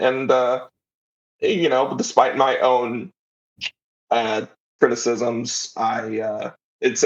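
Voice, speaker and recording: Slightly muffled man chatting as a guest. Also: mid-range voice. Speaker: interview guest, audio drama writer and creator. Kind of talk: casual conversational interview.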